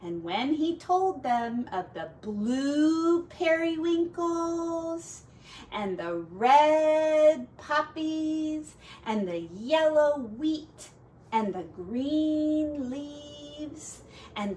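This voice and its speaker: Expressive, slow-paced woman reading a storybook. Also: high-pitched, recorded from a distance, slight background noise. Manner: expressive, deliberate phrasing for children. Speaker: teacher. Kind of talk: storybook read-aloud with casual asides on pictures.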